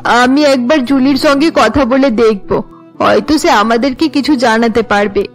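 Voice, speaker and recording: A woman's voice: fairly high pitch, moderate pace, faint music behind, lightly acted. A woman voicing a stern, distressed middle-aged mother of two daughters in a cartoon. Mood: worried. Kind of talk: Bengali cartoon dialogue.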